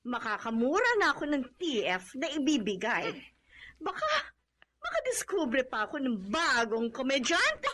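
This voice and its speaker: A bossy Filipina film producer in a radio drama. Her voice is high and very animated, with an imperious, businesslike delivery. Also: measured pace, full character voice. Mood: indignant, scheming. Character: brisk, shrewd, bossy woman film producer.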